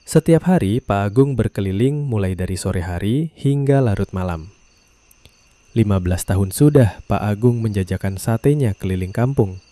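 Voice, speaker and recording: Calm man with a dark, dry narration. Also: fairly low pitch, moderate pace, no echo. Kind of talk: scripted storytelling narration.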